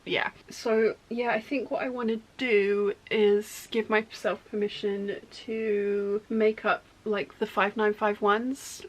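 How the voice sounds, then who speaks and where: A woman chatting casually in her sewing studio, rambling and correcting herself, her voice going hoarse. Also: medium pitch, little pitch movement, takes it slowly, few pauses, rough recording. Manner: rambling, self-correcting, talkative. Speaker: sewing vlogger.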